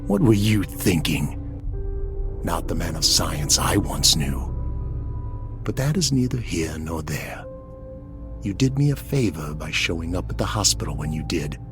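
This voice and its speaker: A man's voice: sits low, sing-song, a little slow, long pauses, music in the background, heavily acted. A man voicing a menacing, mocking, condescending supervillain in a theatrical comic book dub. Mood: mocking menace. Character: menacing, mocking male supervillain in powered costume.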